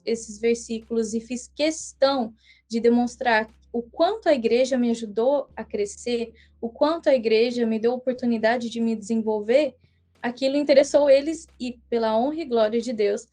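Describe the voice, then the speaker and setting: A young woman whose first language is English, telling her story as a guest on a podcast in an earnest, measured way with small restarts. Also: fairly high pitch, dark tone. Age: young adult. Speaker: guest missionary. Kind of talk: casual podcast interview.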